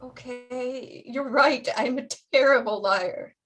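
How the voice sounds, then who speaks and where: A woman playing an anguished, protective mother with halting, emotional delivery in a staged play reading. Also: high voice, a bit bright. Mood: resigned.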